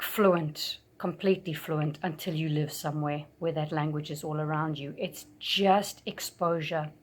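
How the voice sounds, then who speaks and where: A woman from gives a loose, chatty lesson straight to camera. Her voice sits a little low, and she fills her pauses with ums and uhs as she circles back to restate her points. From South Africa. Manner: chatty, many fillers and restarts. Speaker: English teacher. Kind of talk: casual talk to camera.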